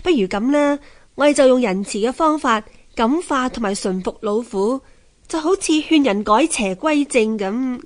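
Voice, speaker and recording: An actress playing a sculptor's wise wife in a Hong Kong Cantonese radio drama, gently advising in a coaxing, expressive way. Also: female voice, a little high, normal speed, lightly acted. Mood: thoughtful.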